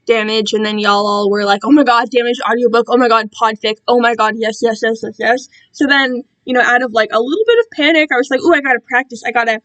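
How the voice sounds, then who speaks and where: A young woman chatting casually on a livestream in a rambling, animated way, often interrupting herself. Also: fairly high pitch, fast talker, few pauses, low-quality recording. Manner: animated, self-interrupting, quotes herself and others dramatically. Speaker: livestream host and amateur fan fiction reader.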